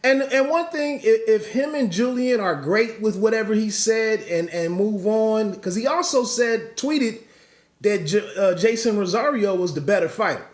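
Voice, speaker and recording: A man's voice: high voice, animated, moderate pace, roomy sound. A man hosting a podcast, giving solo boxing commentary in a rambling way with many restarts and asides.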